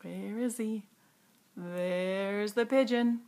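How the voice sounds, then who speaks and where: A woman's voice: medium pitch, very slow, darkish tone. A woman introducing a read-aloud picture book as a story time reader for children.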